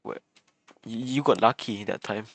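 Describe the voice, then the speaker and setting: A man's voice: on the low side, normal speed, a bit bright. A man streaming a video game, reacting casually and chatting over the story scenes. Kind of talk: live game playthrough commentary.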